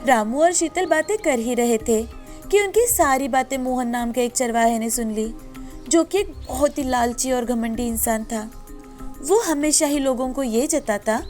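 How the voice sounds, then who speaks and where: A woman narrates a scripted moral story in a high voice. She works as the storyteller, and music runs behind her reading. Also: lively intonation, measured pace, a little mellow. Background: background music.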